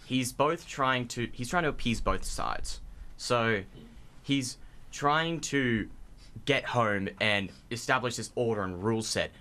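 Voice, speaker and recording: A man's voice: mid-range voice, expressive, takes it slowly, sharp tone. A young man, a drama student explaining casually in an interview. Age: young adult.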